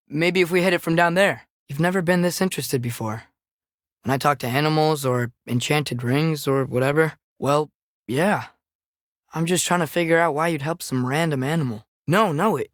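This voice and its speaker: A man's voice: on the high side, no echo, clean studio sound, close to an everyday voice. A young male actor voicing an earnest, compassionate teenage boy adventurer in a video game, with an American accent and a questioning, casual way of talking. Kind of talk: naturalistic video game character acting.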